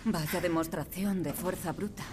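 A woman's voice: on the low side, fairly even intonation, normal speed, background noise, natural voice. Cold, clinical woman scientist played by an actress. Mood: cold, detached. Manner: curt, detached, dismissive. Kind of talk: dramatic video game acting.

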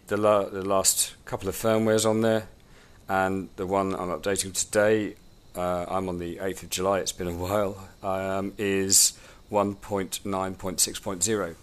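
Snuffly man giving a hands-on tutorial. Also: lowish voice. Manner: informal, rambling, many ums. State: snuffly with a cold. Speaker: drone instructor. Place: home room, at a table.

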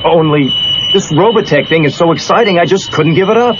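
An actor playing an enthusiastic, friendly military flight officer in a children's read-along drama. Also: male voice, a little high, a little fast, natural voice. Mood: earnest, friendly. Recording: dull noisy cassette.

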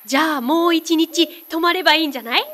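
A high-voiced little boy. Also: fast, a bit bright, a little hiss or hum. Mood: curious. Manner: high childlike voice. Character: young boy, curious then frightened. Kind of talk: radio drama reading. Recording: music bed under the voice.

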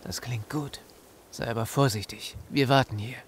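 Actor as an easygoing medium-pitched survivor man. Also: moderate pace, slight background noise, lightly acted. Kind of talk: German radio play acting.

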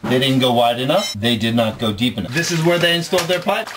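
A man's voice: mid-range voice, fast. A middle-aged man, a waterproofing contractor, bluntly pointing out faults in a basement job, talking on a headset mic. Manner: blunt, repetitive, pointing things out. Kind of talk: on-site demonstration. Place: basement with cinder block walls. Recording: noisy basement sound, roomy echo.

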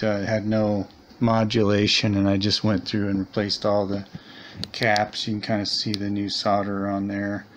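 A man giving a casual, unscripted repair walkthrough, thinking aloud in a hesitant, rambling way, recorded on a handheld camera at a desk indoors. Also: fairly low pitch. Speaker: hobbyist radio repairer presenting a video. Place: small indoor room at a desk. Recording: steady background noise, handheld camera recording.

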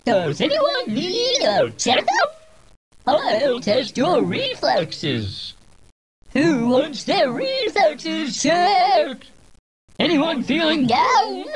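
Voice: high voice, full character voice. An actor voicing a manic, eager cartoon puppet doctor with a menacing edge, in theatrical, exaggerated game voice lines. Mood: eager, inviting. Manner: theatrical, wildly swooping delivery. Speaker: game character.

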